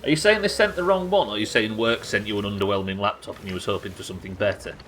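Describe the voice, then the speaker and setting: A man's voice: fairly low pitch, very fast, fairly bright tone, slight background noise. An English man doing casual live game commentary, sweary and jokey, bantering and reading out chat at his desk. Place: indoors at a desk.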